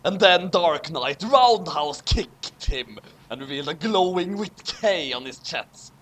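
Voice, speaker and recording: Animated high-voiced man reading theatrically. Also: a little slow, slight background noise. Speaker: fan fiction reader, voice performer. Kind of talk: dramatic comic reading with casual asides.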